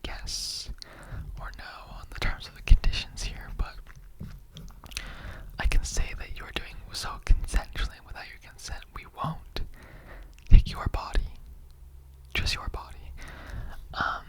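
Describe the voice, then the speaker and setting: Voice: lightly acted, low-quality recording. Someone playing a whispery skeleton guy for asmr roleplay, chatty n earnest, rambles and stumbles along like casual questioning. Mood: persuasive, matter-of-fact. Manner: rambling, conversational, halting asides and repetitions. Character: chatty immortal skeleton interrogator, earnestly recruiting bone donors.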